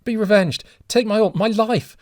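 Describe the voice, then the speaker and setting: A man's voice: high-pitched, normal speed, darkish tone, dry recording, clean studio sound, voice pushed up, lightly acted. A man voicing a desperate old father who shrieks and begs for his drowning son. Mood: begging. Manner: shrieking, pleading, breathless.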